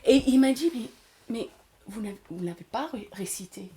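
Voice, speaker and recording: A woman in her forties with a mellow, warm voice, guest on an interview. She tells her own story in a relaxed way, stopping often to search for words. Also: mid-range voice, moderate pace, a little hiss or hum. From Washington State, USA. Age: 40s. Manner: hesitant, many fillers, storytelling. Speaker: guest, English teacher and actress. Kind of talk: casual interview.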